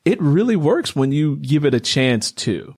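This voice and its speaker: A man's voice: fairly high pitch, big pitch swings, normal speed, a little mellow, husky. A middle-aged man hosts a casual interview, talking in a rambling, reflective way. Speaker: interview host.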